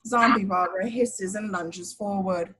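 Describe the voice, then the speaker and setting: Husky woman narrating a script. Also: mid-range voice, a little slow, bright, forceful tone. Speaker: action description reader.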